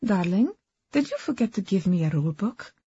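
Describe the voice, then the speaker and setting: A woman voicing a proud, softly steely young wife and hostess in an audiobook, with a soft Eastern European accent. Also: medium pitch, big pitch swings, a little fast, slightly rough, no echo, muffled recording. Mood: coolly defiant.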